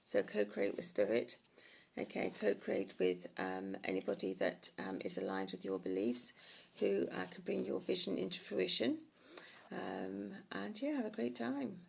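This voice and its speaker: A middle-aged woman with a croaky, hoarse voice, giving a meandering oracle card reading on a livestream with many soft pauses. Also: mid-range voice, expressive.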